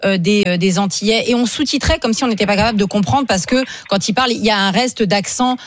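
A woman on a radio panel speaks her mind in a relaxed chat, often pausing to search for words. Her voice is mid-pitched and the studio sound is dry. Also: dry recording. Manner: opinionated, hesitant with fillers. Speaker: radio regular. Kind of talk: casual talk-radio chat.

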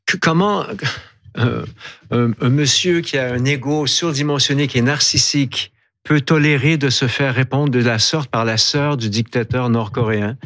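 A man with a Canadian French accent, a guest on a TV news panel, talking in an outspoken, animated way with restarts. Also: medium pitch, moderate pace. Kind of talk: TV panel debate.

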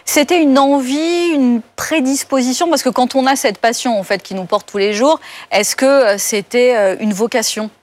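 A woman's voice: high-pitched, moderate pace. A woman interviewing a guest, rephrasing his points and prompting him with questions.

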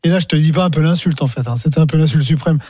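Fast-talking high-voiced man, dry studio. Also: no room sound. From France. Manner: rambling, animated, chatty. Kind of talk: casual radio chat.

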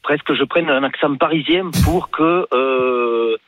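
A man's voice: medium pitch, unhurried, slight background noise. A man from southwest France with a Toulouse accent, calling in to a radio talk show and speaking hesitantly, with many fillers and restarts. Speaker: caller. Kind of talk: phone-in radio call. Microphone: telephone. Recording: tinny phone line.

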